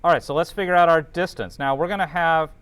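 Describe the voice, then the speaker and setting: A man's voice: a little high, quick pace, a bit bright, clean tone. A man teaching an informal class, thinking aloud as they work through calculations and checking in with students.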